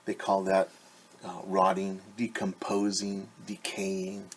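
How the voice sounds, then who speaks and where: A man's voice: deep voice, monotone, takes it slowly, a little hiss or hum. A middle-aged man, a teacher, reading a picture book aloud to children and explaining things simply. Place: small room at home.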